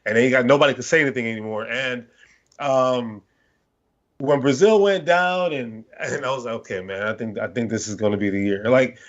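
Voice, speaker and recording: A man co-hosts a casual sports podcast, talking at a middling pitch and winding through his story with restarts and asides. The audio is a little dull and lo-fi. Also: brisk. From born in London, Nigerian family. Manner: rambling, animated storytelling with restarts. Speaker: podcast co-host.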